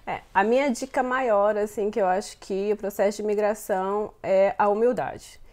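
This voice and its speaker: A woman's voice: medium pitch, steady tone, normal speed, darkish tone. A Brazilian woman in her thirties, a guest answering interview questions thoughtfully, often repeating words as she searches for them. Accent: Brazilian Portuguese. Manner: thoughtful, frequent fillers and self-repeats.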